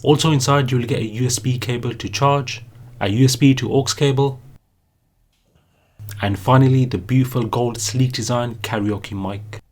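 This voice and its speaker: A man's voice: medium pitch, fairly even intonation, moderate pace. A man narrating a scripted product review as the host of a review channel.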